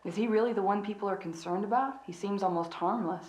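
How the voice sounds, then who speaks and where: An actress playing a skeptical younger subordinate in a game, with a casual, questioning delivery. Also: female voice, mid-range voice, fast talker, darkish tone, natural voice. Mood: doubtful, casual. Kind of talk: scripted game dialogue. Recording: roomy, echoing voice.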